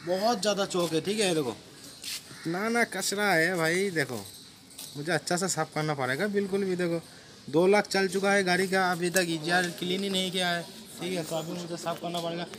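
A man's voice: high-pitched, normal speed, fairly bright tone. A young man, a car mechanic with a Kolkata Hindi accent, walks through a repair job, saying "dekho" and "theek hai" over and over. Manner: repeats "dekho" and "theek hai" while showing his work. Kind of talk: hands-on repair walkthrough. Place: outdoor garage workshop. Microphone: phone camera held while working. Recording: phone audio with garage noise.

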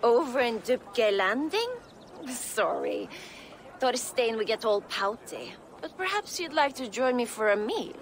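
Sly rogue, lively slow drawl. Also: female voice, on the high side, slight background noise. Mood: teasing, seductive. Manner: teasing, purring, drawling. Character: flirtatious, sly female rogue and fighter. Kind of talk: dramatic video game cutscene acting.

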